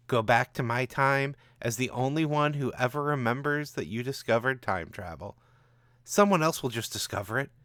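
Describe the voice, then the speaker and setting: A man's voice: mid-range voice, fairly bright tone, no echo. A man voicing a bitter, angry gunman from the future with a mocking, ranting manner in a story. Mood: grim.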